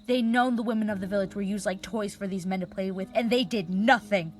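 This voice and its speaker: A woman playing a traumatized, vengeful mage in a dramatic audio roleplay monologue, speaking with emotion in deliberate, halting phrases. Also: medium pitch, natural voice. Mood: fierce anger. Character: traumatized, vengeful female mage recounting her past. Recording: music bed behind the voice.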